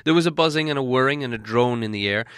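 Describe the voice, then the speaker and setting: A man narrates a storybook from a script. His medium-pitched voice is bright and clear. The recording is close and dry. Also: fast talker, dry recording. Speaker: audiobook reader.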